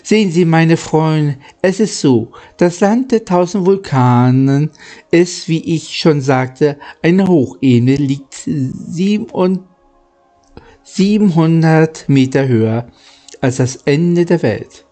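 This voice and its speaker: A man reading the part of a polite, earnest guide who explains gravely and formally, halting at times, in a storybook. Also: medium pitch, animated, mellow, faint music behind. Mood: earnest warning.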